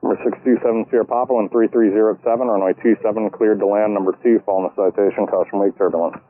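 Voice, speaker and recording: Rapid-fire male tower controller over tinny radio. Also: on the low side, steady tone. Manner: procedural, matter-of-fact. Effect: aviation radio. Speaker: air traffic controller in the tower. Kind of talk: clipped radio clearances to pilots. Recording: narrowband tinny aviation radio transmission.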